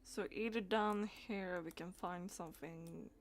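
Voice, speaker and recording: A woman's voice: on the low side, fairly even intonation, unhurried, a little hiss or hum. A woman streaming a game, reading dialogue aloud and chatting as she plays, with stumbles and repeated words.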